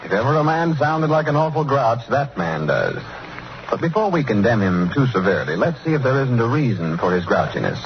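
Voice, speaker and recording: A man's voice: mid-range voice, sing-song, a little fast, noisy background. A male radio announcer delivering scripted 1930s commercial copy and sign-offs. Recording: dull 1930s radio recording, music behind.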